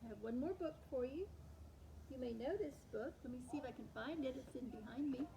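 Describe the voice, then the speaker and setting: Female storytime reader, high pitch, unhurried and expressive, addressing young children, with clear background noise. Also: low-quality recording. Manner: animated, acts out words with slowed and varied delivery. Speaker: storytime host. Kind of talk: expressive read-aloud of picture books for young children.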